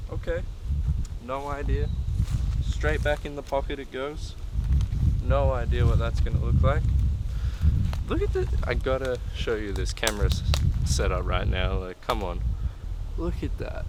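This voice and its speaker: A man's voice: mid-range voice, unhurried, long pauses, heavy background noise. A young Australian man vlogging casually outdoors on a photo walk, chatty and thinking aloud as he shoots. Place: outdoors in a park by water. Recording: loud background music.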